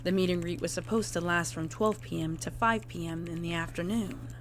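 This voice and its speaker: A woman reading a scripted report in a formal, measured way as a narrator. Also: on the low side, fairly even intonation, a little hiss or hum. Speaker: narrator of a horror fan fiction reading.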